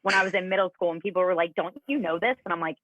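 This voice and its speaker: A woman's voice: on the low side, very fast, dry recording. Woman co-host, casual chat, sounds tinny like shes on a phone line. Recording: thin phone-like audio, tinny.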